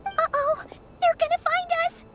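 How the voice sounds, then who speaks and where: A woman voicing a tiny, excitable, childlike companion creature in a video game, squeaky and full of exclamations and questions. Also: unhurried. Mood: alarmed. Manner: exclamatory, curious. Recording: game music behind voice.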